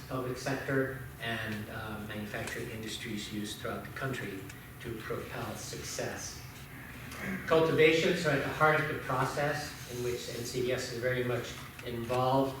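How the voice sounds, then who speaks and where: A man from Indonesia, a visiting development consultant, giving a measured and formal public address to a city council. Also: medium pitch, background noise. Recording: distant voice in echoey council chamber.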